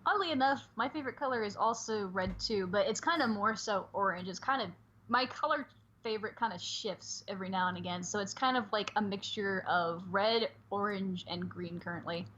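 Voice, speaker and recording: A woman's voice: mid-range voice, fairly quick, a bit bright. A woman chatting casually and earnestly as a guest on a livestream, over dull, lo-fi call audio. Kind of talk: casual chat.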